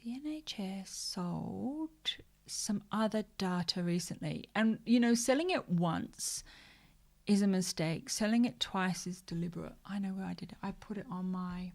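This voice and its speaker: A woman hosts a live social media news show in a loose, chatty way. Her voice sits at an ordinary middle pitch. She breaks into joking, exasperated rants and mumbles to herself as she searches through her tabs. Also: a little slow, darkish tone. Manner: chatty, mutters asides to herself while searching. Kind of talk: casual livestream news commentary.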